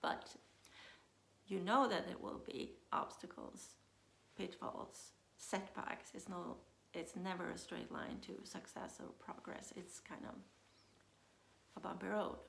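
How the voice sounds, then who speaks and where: A woman coach with a Swedish accent, speaking English as her second language, talking to camera in a relaxed, unhurried way with many pauses and restarts. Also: fairly low pitch, creaky, echoey room, a little hiss or hum. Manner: unhurried, thinks aloud with many uh fillers and restarts. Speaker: self-leadership coach. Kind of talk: casual talk to camera.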